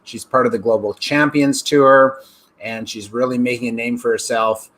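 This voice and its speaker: A man's voice: mid-range voice, steady tone, normal speed, a bit bright. A man hosting an interview show, talking effusively and piling on superlatives. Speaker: show host.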